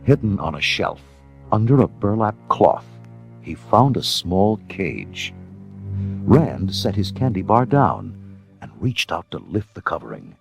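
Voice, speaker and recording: A male narrator reads a scripted storybook with dramatic, measured delivery. His voice is very low and gravelly, yet moves expressively up and down. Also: very animated. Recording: music under the narration. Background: music.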